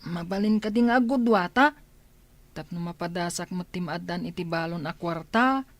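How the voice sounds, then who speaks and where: An actress playing a pleading, dutiful school-age child who is eager to study, in a Filipino radio drama. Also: female voice, on the low side, moderate pace, dry recording, lightly acted. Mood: meek, dismayed.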